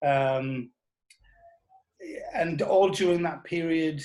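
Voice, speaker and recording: A man's voice: a little high, takes it slowly, darkish tone, rough recording. A British man in his sixties, reminiscing as a guest in an interview. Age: 60s. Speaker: interview guest, former television producer and journalist.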